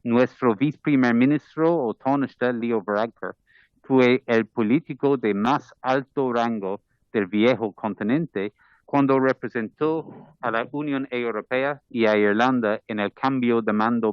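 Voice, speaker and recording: A man's voice: medium pitch, clear, resonant tone, no room sound. A man from Ireland with an Irish accent, reading a formal address as an ambassador in careful second-language Spanish. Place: parliamentary committee room. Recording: dull, muffled committee audio.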